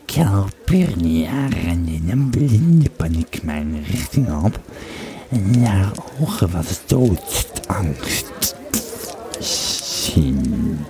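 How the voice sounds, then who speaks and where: A man playing a Roman legionary who tells his war story in an audio drama, with a gravelly, halting, dramatic delivery. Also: deep voice, big pitch swings, dark tone, heavily acted. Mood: tense, haunted. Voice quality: gravelly, creaky. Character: Roman legionary recounting his harrowing escape and mission. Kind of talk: dramatised first-person storytelling in an audio play. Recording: busy crowd chatter behind the voice. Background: crowd noise.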